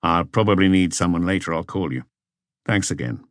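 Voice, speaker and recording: A man's voice: deep voice, animated, brisk, a bit bright, dry recording, clean studio sound. A man voicing a terse, understated police detective chief inspector in an audiobook. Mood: low-key.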